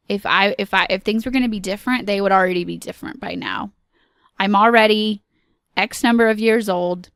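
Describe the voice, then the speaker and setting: A woman hosting a solo podcast, talking earnestly and encouragingly with pauses and frequent ums. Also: medium pitch, clear, resonant tone, no echo, studio quality. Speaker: podcast host.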